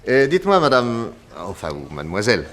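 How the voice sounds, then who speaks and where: An actor playing a shrewd, courteous police inspector in a 1960s French radio drama, questioning politely and patiently. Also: male voice, medium pitch, wildly swooping pitch, a little fast, fairly bright tone, slight background noise. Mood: genial, inquisitive.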